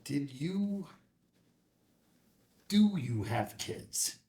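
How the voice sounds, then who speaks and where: Hesitant, kindly dad, read by a male actor, creaky voice with very mobile pitch, halting delivery. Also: animated, darkish tone, exaggerated voice. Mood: tentative. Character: hesitant, kindly father of a military pilot. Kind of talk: screenplay table read.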